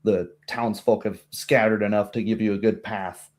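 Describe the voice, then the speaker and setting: A man's voice: on the low side, steady tone, normal speed. A man narrating a tabletop roleplay as game master, with dramatic, descriptive storytelling.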